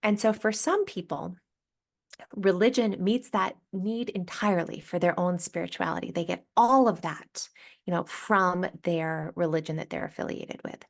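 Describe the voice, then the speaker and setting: A woman's voice: on the low side, moderate pace, vocal fry. A woman hospital chaplain with a nasal voice, speaking thoughtfully with many fillers in a podcast interview. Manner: thoughtful, many ums and uhs. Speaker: hospital chaplain and spiritual director.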